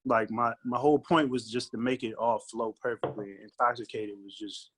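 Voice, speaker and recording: A man with a mid-pitched voice chats as an interview guest. He is a recording artist, and his talk is casual, conversational and full of slang. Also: fairly even intonation, normal speed, a bit bright, slightly rough, rough recording.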